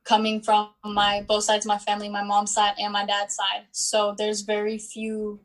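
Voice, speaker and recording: A woman in her twenties, born in Bosnia and Herzegovina, answering interview questions in an unhurried way with many pauses and fillers. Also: medium pitch, monotone. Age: 20s. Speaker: guest, student athlete.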